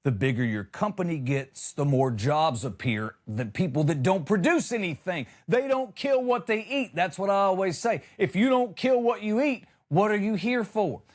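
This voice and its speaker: A man's voice: high-pitched, lively intonation, normal speed, few pauses. A man hosting a comedy show, delivering a conversational monologue with exasperated, emphatic punchlines.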